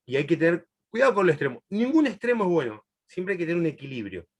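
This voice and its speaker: A man's voice: a little high, big pitch swings. A middle-aged man from Chile, raised in Argentina, teaching a Bible class in a chatty, laughing way. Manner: warm, chatty, laughs often, long pauses. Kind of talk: answering a student in a live class.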